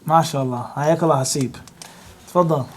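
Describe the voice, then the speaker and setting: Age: adult. Sex: man. Role: teacher. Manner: teasing, peppered with Arabic phrases. Pitch: slightly high. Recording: faint hiss. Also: normal speed. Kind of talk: classroom talk and lecture.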